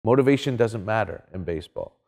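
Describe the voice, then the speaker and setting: Low mellow male voice, opinionated, conversational, often asks "right?". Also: lively intonation, fast, in a large hall. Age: middle-aged. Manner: emphatic, checks in with "right?". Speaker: sports commentator guest. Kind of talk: casual opinionated sports talk. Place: small indoor studio set with couches.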